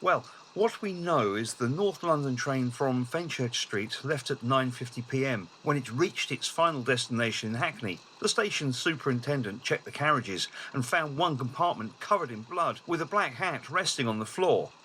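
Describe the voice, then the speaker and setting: A man's voice: mid-range voice, lively intonation, sharp tone, a little hiss or hum, low-quality recording. A man playing a hesitant, bumbling Victorian police inspector in an audio drama. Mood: flustered, earnest. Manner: hesitant, halting.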